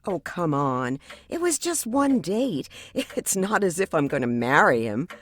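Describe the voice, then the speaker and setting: A woman's voice: lowish voice, very animated, measured pace, no echo. A woman playing an outspoken, defensive young therapy client in an audio drama, with a frank, sardonic manner. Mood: exasperated, sardonic.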